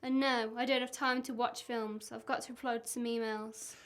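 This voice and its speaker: A woman's voice: fairly high pitch, flat delivery, moderate pace, a bit bright, lightly acted. A young woman playing a suspicious, exasperated wife in an amateur radio play. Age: young adult.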